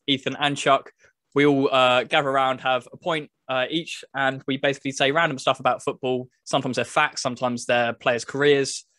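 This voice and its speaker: A man's voice: mid-range voice, fairly bright tone, no room sound, clean studio sound. A man hosting a casual video chat about games, talking as a podcast presenter. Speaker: podcast host presenting a game review video.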